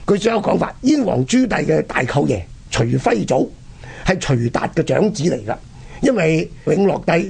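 A man with a Hong Kong Cantonese accent and a husky, gravelly voice, telling history conversationally and dramatically as a radio presenter. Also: fairly high pitch, darkish tone. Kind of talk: animated conversational history storytelling.

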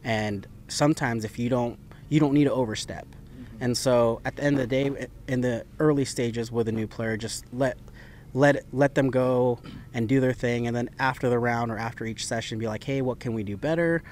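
A young adult man born in the Philippines, a professional golf caddie answering interview questions in a relaxed, rambling way. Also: mid-range voice, moderate pace, a little hiss or hum. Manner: relaxed, talks in loose run-on phrases with fillers. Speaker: PGA Tour caddie, guest.

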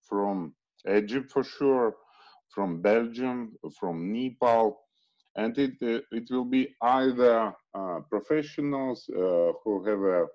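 A man hosting an online webinar in English, speaking haltingly with many fillers and restarts. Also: medium pitch, darkish tone. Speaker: webinar host. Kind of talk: hesitant online meeting hosting. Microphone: video call. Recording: muffled video call audio.

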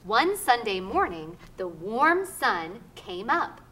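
A woman's voice: medium pitch, very animated, slow pace, pushed and bright, rough recording. A woman reading a children's picture book aloud expressively as a reader's theater performer. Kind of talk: expressive storybook read-aloud. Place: theater stage.

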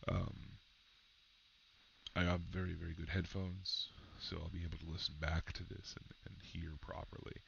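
A man with a very low voice talking casually and halting a bit as he explains, recorded indoors on a headset microphone. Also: little pitch movement, vocal fry, a bit bright, highs cut off, a little hiss or hum. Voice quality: very low, deep voice. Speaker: person testing a microphone. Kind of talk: casual spoken mic test. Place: indoors at a computer.

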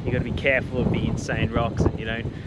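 A man's voice: lowish voice, flat delivery, fast, highs cut off. A man, vlogging casually and enthusiastically on a windy coast, filmed with a camera at arm's length. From Australia. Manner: enthusiastic, laid-back slang. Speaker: travel vlogger. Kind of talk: casual travel vlog. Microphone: handheld camera at arm's length. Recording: loud music underneath.

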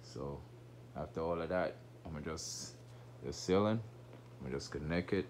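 A man, walking through his unfinished basement with a phone and casually narrating his renovation work in a relaxed, rambling way. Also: a bass voice, moderate pace, slight background noise. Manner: relaxed, rambling, informal speech. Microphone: phone camera held while walking.

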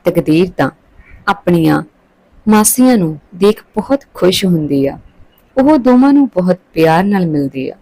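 Mellow, lower-pitched woman telling a story. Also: no echo, poor audio. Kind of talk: scripted story narration.

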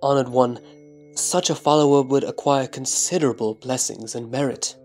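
Disciple, male actor: respectful scripture replies, measured, medium pitch, light music bed. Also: fairly even intonation, a little mellow, close to an everyday voice. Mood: reverent, assured. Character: Subhuti, reverent male disciple answering his master. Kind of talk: scripted scripture dialogue recitation.

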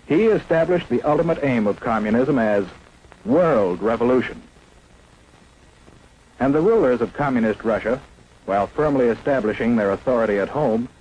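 A man's voice: mid-range voice, darkish tone, rough recording. A man narrating a 1950s propaganda film in an emphatic, declamatory way. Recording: faint hiss of an old 1950s film soundtrack.

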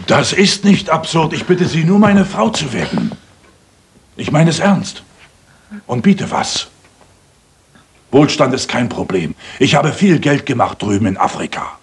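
An actor playing a coarse, pushy rich suitor in a radio play, insistent and leering, with a rough husky voice. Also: male voice, medium pitch, sing-song, a little slow, pauses often, a little hiss or hum. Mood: urgent wooing. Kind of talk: dramatic German radio play acting.

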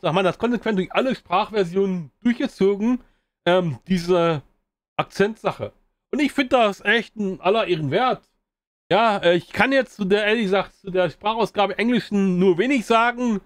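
A man's voice: high-pitched, no echo. Rambling German man reviewing a game. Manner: rambling, many fillers and restarts. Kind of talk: casual spoken game review.

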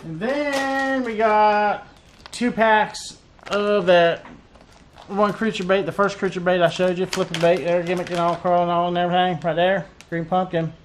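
A man's voice: high voice, steady tone, moderate pace, slight background noise. A man in his thirties chatting casually through a fishing tackle unboxing, rambling and repeating himself with lots of fillers, recorded on a desk camera in a cluttered tackle room. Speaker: fishing vlogger.

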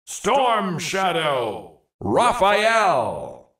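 Big dramatic fighting game announcer, highish voice, super animated swoops, echoey, bombastic short callouts. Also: male voice, far from the mic, heavily acted. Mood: bombastic, hyped. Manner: theatrical, drawn-out, emphatic delivery.

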